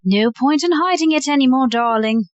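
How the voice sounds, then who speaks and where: Woman playing a high-voiced, poised society lady. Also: animated, normal speed, no echo, dull audio. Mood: composed, diplomatic. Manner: genteel, composed, wry. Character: poised, unflappable society lady, wry and diplomatic. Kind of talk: audio play acting.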